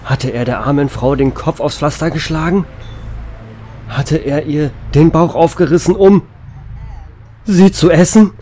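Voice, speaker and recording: A man's voice: a little high, a little slow, long pauses, warm tone, a little hiss or hum. A man narrating a horror audiobook in a dramatic, suspenseful manner.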